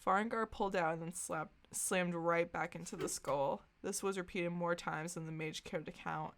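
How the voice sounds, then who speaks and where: A woman's voice: on the low side, steady tone, normal speed. A young woman co-hosting a podcast, reading aloud and chatting in an informal, amused way. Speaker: podcast co-host. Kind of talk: casual reading aloud of fan fiction and chat.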